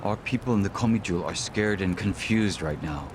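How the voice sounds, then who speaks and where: Guy doing a deep polite loyal henchman in a game, measured n formal, street noise behind. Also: lightly acted. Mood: respectful. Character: courteous, loyal, formal male lieutenant. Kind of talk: dramatic video game voice acting.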